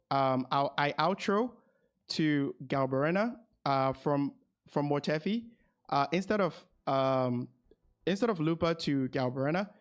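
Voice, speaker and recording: A man streaming as a game guide host, casually commentating and repeating his phrases as he thinks aloud. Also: on the high side. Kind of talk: casual gameplay commentary with asides to viewers.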